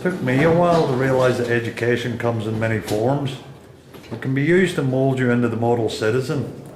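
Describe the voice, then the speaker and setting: Male actor as measured, philosophical inmate for radio drama monologue. Mid-pitched, echoey, slightly noisy recording. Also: lightly acted. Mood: reflective. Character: thoughtful male prisoner musing on learning. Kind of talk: radio play, reflective monologue.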